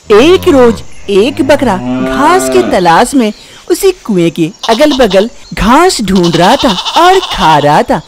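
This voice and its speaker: Lively, slow-paced woman storyteller. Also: a little high, noisy background. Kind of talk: scripted narration of children's animal fable.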